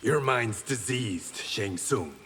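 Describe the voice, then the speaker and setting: A man's voice: fairly low pitch, lively intonation, slow, fairly bright tone, big-room sound, slight background noise. An actor playing a stoic blind swordsman hero in a video game, with dry, confident wit. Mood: defiant.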